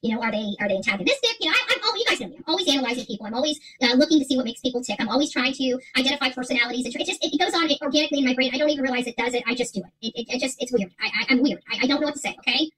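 A woman's voice: sits high, expressive, rapid-fire, hardly any pauses, fairly bright tone, husky, low-quality recording. A middle-aged woman chatting casually to livestream viewers as host, emphatic, repeating herself and rambling. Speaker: livestream creator and channel host. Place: small furnished room at home, indoors.

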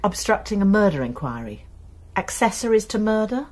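A woman playing a college nurse and amateur sleuth in a radio drama, gentle, earnest and persuasive. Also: medium pitch, sing-song, normal speed.

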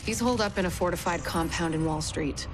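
A woman's voice: on the low side, brisk, music in the background, close to an everyday voice. A woman playing a focused agent who delivers a businesslike, directive mission briefing in a video game. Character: focused female agent briefing the field team.

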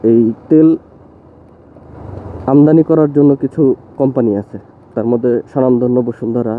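A young man talks loosely and opinionatedly while riding a motorbike along village roads. His voice sits at an ordinary middle pitch. It comes through a thin, phone-like bike-mounted recording with faint traffic and wind noise behind. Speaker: motovlogger. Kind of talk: casual talk to camera while riding. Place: outdoors on a motorbike on rural roads.

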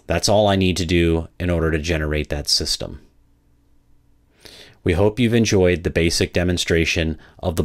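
A man with a low-pitched voice narrates a software product demonstration. He explains each step methodically, in the manner of a presenter guiding viewers through a tool.

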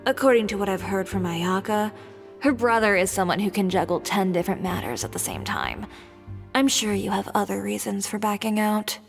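A woman's voice: mid-range voice, lively intonation, moderate pace, creaky, in a large hall, soft background music. An actress voicing a blunt, shrewd young woman in a video game, with a wry, teasing, direct delivery. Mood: wry, sceptical.

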